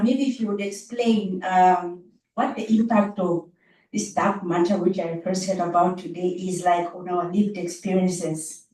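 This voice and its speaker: A woman's voice: mid-range voice, darkish tone. A woman from Zambia asking questions from the audience at a public talk. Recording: echoey room, distant mic.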